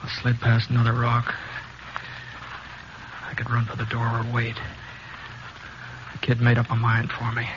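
Guy playing laconic cowboy gunslinger in an old radio western, understated and steady, dull noisy recording. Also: medium pitch, normal speed, pauses often, natural voice. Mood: grim, measured. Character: steady, laconic Wild West gunslinger drifter, a man. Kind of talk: 1950s radio western acting and narration.

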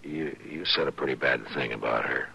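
An actor playing a patient, authoritative frontier marshal in a radio western, speaking plainly and in a measured way with an American accent. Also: male voice, rumbling low, gravelly, very noisy, heavily acted. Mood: gentle, fatherly. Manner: measured, fatherly, plain-spoken. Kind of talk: old-time radio western acting.

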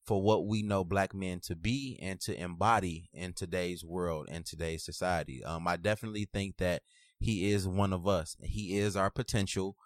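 A man's voice: sits low, fairly even intonation, normal speed, dry recording, studio quality. A man hosting a podcast in casual, slangy conversation, repeating phrases for emphasis. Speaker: podcast host.

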